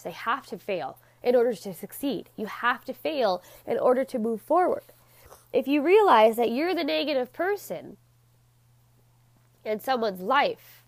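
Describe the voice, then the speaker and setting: A woman with a stuffy, congested nose and a slightly raspy voice, giving a chatty motivational monologue and acting out quoted people in animated voices. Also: medium pitch, dry recording.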